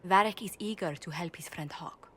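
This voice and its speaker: A woman's voice: on the low side, normal speed, a little hiss or hum, natural voice. An actress as a polite, firm female advisor. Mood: firm, businesslike. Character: brisk, diplomatic female advisor to a leader. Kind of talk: dramatic video game dialogue.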